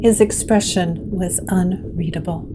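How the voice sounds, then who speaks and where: A woman narrator reading scripted gothic horror in a measured way, with dramatic pauses. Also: lowish voice, fairly even intonation, noisy background.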